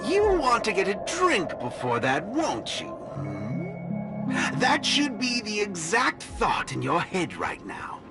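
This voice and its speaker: Courteous, cryptic video game guru, unhurried and theatrical, very expressive, over clear music. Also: male voice, sits high, heavily acted. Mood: smug, patient. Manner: theatrical, measured, preaching politely. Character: serene cryptic male cult guru, courteous and smug. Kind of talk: video game character acting.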